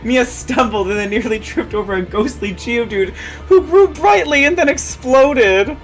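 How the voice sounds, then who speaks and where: A woman's voice: a little high, animated, moderate pace, soft background music, low-quality recording. A woman reading fan fiction aloud as a dramatic story narrator.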